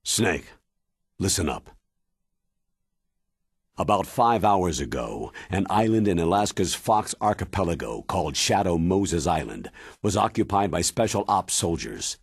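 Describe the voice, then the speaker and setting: An actor playing a stern military commander briefing an agent in a video game, grave and measured in manner. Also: male voice, low-pitched, very animated, no echo, studio quality, exaggerated voice. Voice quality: husky, weighty. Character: stern, authoritative older military commander giving mission briefing. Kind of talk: dramatic video game cutscene acting.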